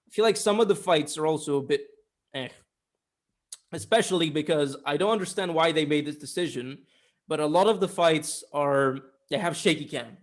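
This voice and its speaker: A man's voice: a little high, normal speed. A man in casual conversation as a film review co-host, giving opinionated takes with some swearing.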